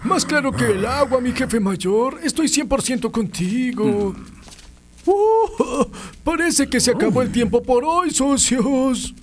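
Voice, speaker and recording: An actor voicing a laid-back, goofy young surfer soccer player with easygoing slang in a cartoon dub. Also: male voice, extremely high pitch, animated, takes it slowly, heavily acted. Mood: breezy.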